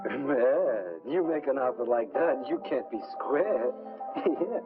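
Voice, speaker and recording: An actor playing a giggly hipster jazz musician in a 1950s film, drawling in chuckly beatnik slang. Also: male voice, medium pitch, animated, slow. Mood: amused. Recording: tinny old film soundtrack, loud music.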